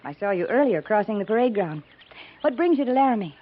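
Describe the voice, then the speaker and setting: An actress playing a gracious, eager officer's wife, polite and effusive, in a 1950s radio drama. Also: female voice, mid-range voice, sing-song, quick pace. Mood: gracious, pleased. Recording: dull, noisy 1950s radio recording.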